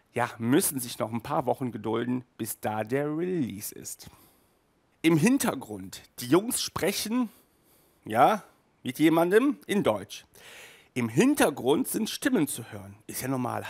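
A man in his thirties hosting a casual video review, chatty and halting, with emphatic asides, speaking into a headset mic. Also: medium pitch, gravelly. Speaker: host of an audio drama review show. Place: small furnished room indoors.

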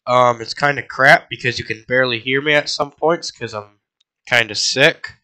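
A man's voice: mid-range voice, moderate pace, no echo. A teenage boy with a congested voice, giving sarcastic, casual commentary while playing a video game and coughing now and then. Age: teens. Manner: sarcastic, mock-grumpy banter. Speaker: gaming YouTuber. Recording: game music playing loudly behind.

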